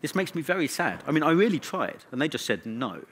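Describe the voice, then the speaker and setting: A man speaking as a conference presenter in a technical talk, with a wry, opinionated manner and emphatic pauses. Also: a little high, very animated, a bit bright, slight background noise.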